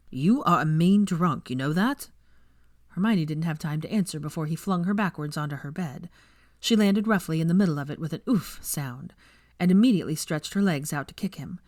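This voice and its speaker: A woman's voice: sits low, a little mellow, dry recording, studio quality. A woman reading scripted story narration as an audiobook narrator. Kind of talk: scripted narration of fan fiction.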